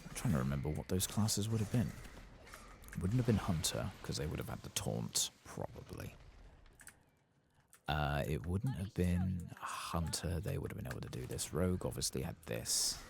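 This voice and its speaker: A man with an extremely low, gravelly voice speaks softly over a video game in an ASMR style. His pitch wanders up and down as he muses to himself, pausing to think between unhurried remarks. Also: lively intonation, background noise. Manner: musing to himself, hesitant, unhurried asides. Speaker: ASMR gaming streamer narrating his play. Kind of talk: soft-spoken ASMR game commentary, reading quest text aloud.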